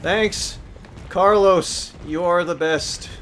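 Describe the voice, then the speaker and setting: A man streaming a video game, reacting loudly, swearing and laughing as he plays. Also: sits high, slow, a little hiss or hum. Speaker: gamer streaming a playthrough. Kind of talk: live gameplay commentary.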